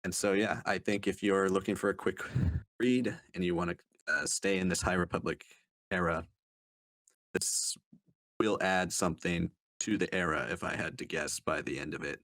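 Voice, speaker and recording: A man giving a casual book review as a panelist, speaking in a hesitant, halting way with trailing sentences. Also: low voice, fairly even intonation, fairly bright tone, no echo. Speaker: podcast panelist reviewing books.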